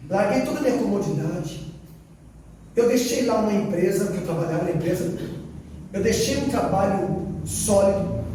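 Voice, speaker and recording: A man's voice: sits high, noisy background. A male missionary from Brazil preaching a sermon testimony, telling a story and quoting dialogue with repeated phrases for emphasis. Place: church hall. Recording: echoey hall from far off, faint ambience.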